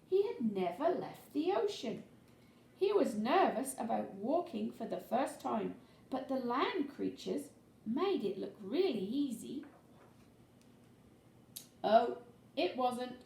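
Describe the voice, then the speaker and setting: A woman tells a children's story in a very animated, sing-out read-aloud style. She goes slowly and stretches words for emphasis, with some creak in the voice. The living room is echoey. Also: a little high. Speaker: storyteller reading a children's picture book. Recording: echoey living room, faint background noise.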